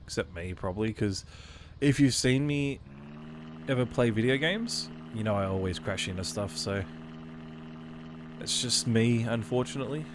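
A man with a cold casually commentating his own gameplay, reading on-screen prompts aloud and thinking out loud as he plays. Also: medium pitch, moderate pace, noisy background. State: has a cold. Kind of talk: casual let's-play commentary.

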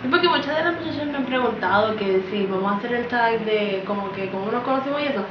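A giggly young woman chats with her viewers in a beauty vlog, her voice sitting a little high. She is picked up from across a small room, so the sound is distant and echoey, with music under her. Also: fast, muffled recording, noisy background. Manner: chatty, giggly, speaks to viewers. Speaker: beauty vlogger. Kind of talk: casual chat. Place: small indoor room against a plain wall. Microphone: far camera. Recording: roomy, distant, music behind.

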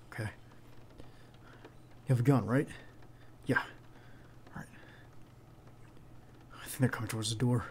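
A man playing a protective, earnest bodyguard in an ASMR roleplay, speaking haltingly and insistently straight to the listener. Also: medium pitch, close to an everyday voice. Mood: hushed, tense. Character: protective, earnest young male bodyguard to a crime boss. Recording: clear background noise under the voice.

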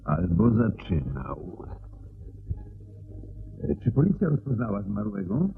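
An astute, courteous detective in a radio play. His voice sits very low with a creaky grain, and he reasons in a measured, inquisitive way. The recording is dull and muffled. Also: sing-song, slight background noise, exaggerated voice. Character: astute, courteous male private detective questioning a client.

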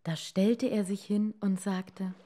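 A woman narrating a fairy tale for children in a measured way, with dramatic pauses. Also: mid-range voice, fairly even intonation, dark tone. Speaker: storyteller.

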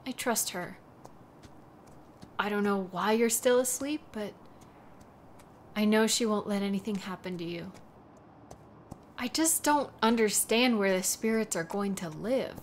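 A woman performs a devoted young woman in an audio roleplay drama. She talks slowly and haltingly, at a middle pitch, with a wistful, protective tenderness. Faint ambience sits behind the voice. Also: fairly bright tone, slight background noise. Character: devoted, worried young woman caring for a sleeping kitsune.

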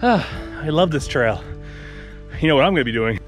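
A man's voice: fairly high pitch, animated, fairly quick, soft background music. A young man filming himself on a forest hiking trail, narrating a travel vlog in an upbeat, joking way. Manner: upbeat, joking about the stairs. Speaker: travel vlogger. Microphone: camera held at arm's length.

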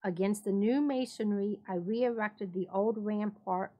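A woman's voice: medium pitch, measured pace, mellow. A woman narrating a written story as a dramatic reading, in the role of story reader. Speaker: library staff story reader.

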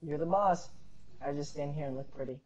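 A man's voice: a little high, fairly quick, highs cut off. A man hosting a casual gaming stream from home on a headset, chatty and jokey. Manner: animated, jokey, talkative.

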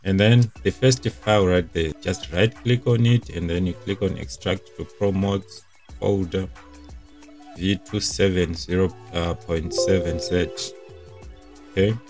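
A man's voice: low-pitched, fairly even intonation, measured pace, darkish tone, faint music behind. A young man presenting a casual step-by-step tech tutorial in an informal, explanatory way.

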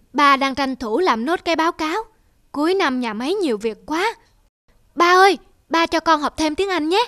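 A woman with a bright, youthful voice, voicing several characters in a cartoon. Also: sits high, no echo, studio quality.

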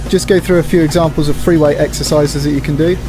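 A man's voice: on the high side, fairly even intonation, fast. A middle-aged man narrating an instructional exercise video in a plain, practical, explanatory way. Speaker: presenter demonstrating gym exercises. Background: music.

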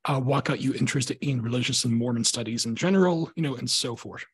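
A man's voice: mid-range voice, fast talker, slightly rough. A man hosting a podcast interview over a video call on a headset mic, chatty with many fillers. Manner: chatty, many ums and likes. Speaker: podcast host. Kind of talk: casual interview. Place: home room, video call.

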